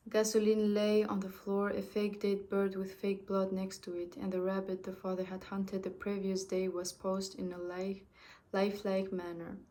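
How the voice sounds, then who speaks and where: A young woman whose first language is French, reading a story aloud in a halting way with frequent restarts. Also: mid-range voice, monotone, dark tone. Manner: halting, with restarts and self-corrections.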